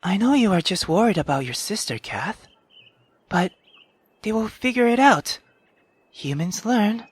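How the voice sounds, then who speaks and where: A woman voicing an earnest, thoughtful young girl with a gentle, measured manner in a visual novel read-aloud. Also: medium pitch, lively intonation, slightly rough, dry recording. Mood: reassuring.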